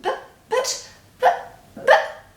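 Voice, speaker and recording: A woman reading a storybook aloud, voicing a bewildered mother who stammers in shock. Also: very slow. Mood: stunned. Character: flustered stammering young mother. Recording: roomy echo.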